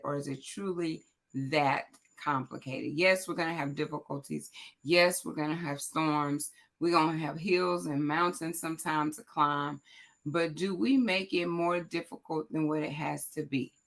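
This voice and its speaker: A woman hosting a motivational morning livestream, chatting loudly and directly to viewers, her voice raspy and hoarse. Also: low voice. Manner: chatty, loud, talks straight to the audience. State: hoarse, voice cracking. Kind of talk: casual motivational chat to viewers.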